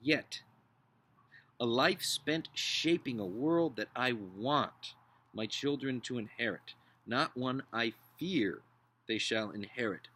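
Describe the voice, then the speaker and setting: A man playing an earnest, idealistic upper-class nineteenth-century gentleman in a dramatic book reading, solemn, deliberate and oratorical. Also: medium pitch, lively intonation, heavily acted. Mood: impassioned.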